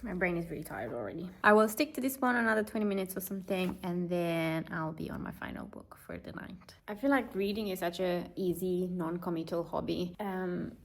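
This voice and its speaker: A woman's voice: on the low side, brisk, few pauses, soft background music. Chatty woman vlogging. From Romania. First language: Romanian. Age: late 20s. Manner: chatty and reflective, with light laughs. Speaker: lifestyle vlogger. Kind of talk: casual vlog narration.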